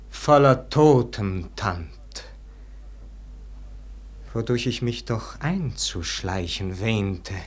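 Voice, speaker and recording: Tired regretful scholar in an old radio play, really slow theatrical verse, full of longing. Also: male voice, mid-range voice. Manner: declamatory, swinging from hushed murmur to ringing lament.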